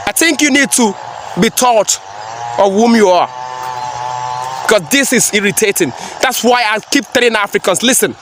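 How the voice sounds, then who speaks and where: A man's voice: sits high, moderate pace, pauses often, background noise. A man giving a preachy, emphatic reaction commentary, repeating phrases for effect. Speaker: commentary vlogger.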